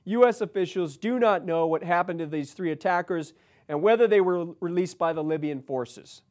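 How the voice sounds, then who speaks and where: A man with an American accent and a notably high-pitched voice delivers political commentary. He reads news items aloud and breaks off into emphatic, halting asides, often repeating himself. He sounds like he is in his forties. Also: a little mellow. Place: indoor studio set, seated at a desk.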